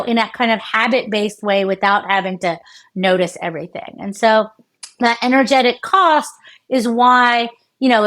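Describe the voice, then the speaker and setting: Casting: American woman researcher, middle-aged, lively and chatty, frequent fillers and self-corrections. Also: a little high. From United States. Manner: animated, many fillers and restarts, laughs often. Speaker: neuroscientist guest. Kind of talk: conversational interview explanation.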